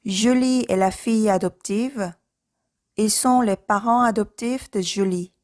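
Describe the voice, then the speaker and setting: Female language instructor, mid-pitched, for scripted drill sentences; careful, measured diction. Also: darkish tone. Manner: measured, careful enunciation with repeated phrases. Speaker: language teacher.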